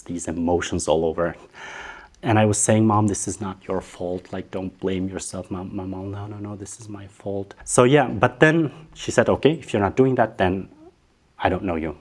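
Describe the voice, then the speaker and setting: A man giving a candid personal testimony, telling his story and acting out remembered dialogue. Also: sits low. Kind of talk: personal life testimony to camera.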